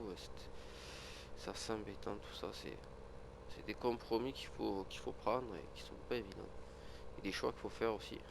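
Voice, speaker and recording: Guy with a cold rambling over a farm game, low gravelly voice, noisy background. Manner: rambling, hesitant, many fillers. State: has a cold, sniffling. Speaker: gaming video creator. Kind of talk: casual gameplay commentary.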